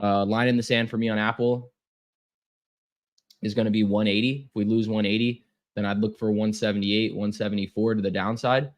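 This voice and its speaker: A man's voice: fairly low pitch, flat delivery, brisk. A young man losing his voice, running a casual live trading stream with lots of fillers and repeated words.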